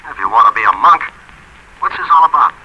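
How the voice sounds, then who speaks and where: An actor playing a slangy, wry racetrack tipster answering curtly in a 1940s radio noir. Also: male voice, medium pitch, expressive, quick pace, husky. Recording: dull, faintly noisy old radio recording.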